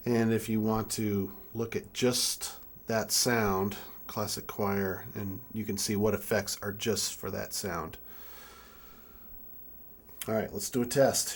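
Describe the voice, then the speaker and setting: A young adult man giving an informal hands-on tutorial, speaking haltingly as he thinks aloud while working. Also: fairly low pitch. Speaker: music keyboard tutorial presenter. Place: indoor room with draped backdrop.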